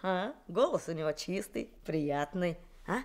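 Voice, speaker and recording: A woman's voice: lowish voice, animated, measured pace, darkish tone. A woman voicing a cheerful teenage boy who teases his friend in an old radio play. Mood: playful. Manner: playful, laughing. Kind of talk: 1960s Soviet radio drama acting.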